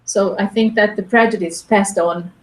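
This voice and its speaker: Woman chatting in english, keeps restarting, middle pitch, echoey room. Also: steady tone, moderate pace, a little hiss or hum. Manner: thoughtful, with frequent restarts and repeated words. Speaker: interviewee. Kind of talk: casual conversation.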